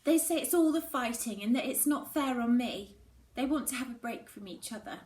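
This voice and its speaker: A woman's voice: a little high, lively intonation, moderate pace, a little mellow, pitched above the performer's own voice, natural voice. A woman voicing an upset, guarded schoolgirl in a storybook, pleading and exasperated. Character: upset, guarded schoolgirl, usually bubbly and sensible. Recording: echoey room, faint background noise.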